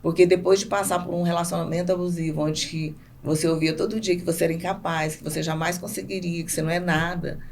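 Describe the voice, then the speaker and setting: A woman's voice: fairly low pitch, steady tone, fast, few pauses, darkish tone. A Brazilian woman speaking conversationally and emphatically as an interview guest, often repeating herself for stress. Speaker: podcast guest, business owner. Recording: clear background noise.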